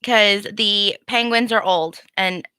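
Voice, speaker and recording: A woman's voice: medium pitch, takes it slowly, pushed and bright, clean tone, dry recording. A young woman hosting a casual sports chat, teasing her guest in a playful way. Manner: teasing, playful, laughs often. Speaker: host.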